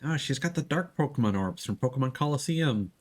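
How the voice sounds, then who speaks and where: Lively, wry male game streamer. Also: medium pitch, a little fast. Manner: wry, joking. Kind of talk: casual live gameplay commentary. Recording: game music behind the voice.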